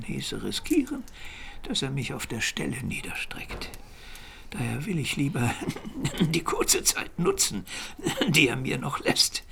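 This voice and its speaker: Gravelly, frail old bishop. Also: male voice, mid-range voice, a bit bright, slight background noise, exaggerated voice. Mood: frail, wry. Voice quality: gravelly, breathy, often dropping to a whisper. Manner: measured, didactic, coughing. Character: elderly sickly medieval bishop, learned, wry, fatherly. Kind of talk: radio play acting.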